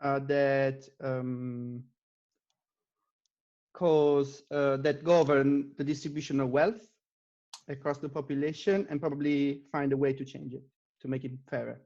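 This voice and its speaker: A man's voice: mid-range voice, flat delivery, measured pace, a little mellow, highs cut off. A man from Italy, a native Italian speaker with an Italian accent, answering questions as an interview guest in a conversational style. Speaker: interview guest, data scientist.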